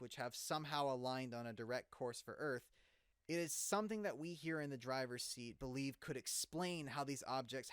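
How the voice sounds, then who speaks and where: A man plays an urgent male radio host in a dramatized live broadcast. His medium-pitched voice swings widely in a colloquial, exclamatory delivery, captured close and dry. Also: fairly bright tone, clear, resonant tone, no room sound, close to an everyday voice.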